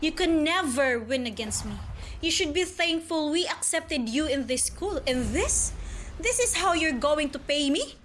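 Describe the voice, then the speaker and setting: A woman's voice: high voice, animated, a little slow, fairly bright tone. A woman playing a haughty, wicked school director in an amateur radio drama, recorded with noisy sound at home. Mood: scornful.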